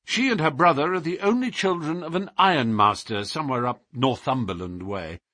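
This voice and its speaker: A man voicing a brilliant, self-assured gentleman detective from a storybook, musing in a precise, declamatory and philosophical way. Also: fairly high pitch, fairly quick, no echo, clean studio sound, voice pushed up. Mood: animated.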